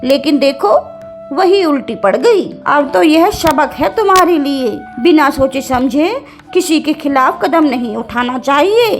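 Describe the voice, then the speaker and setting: A clever old cartoon village woman, played by a woman, talks in a high voice. She is plain-spoken, plaintive and quick to scold, over faint music. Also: expressive. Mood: smug lecturing. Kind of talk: animated cartoon story acting.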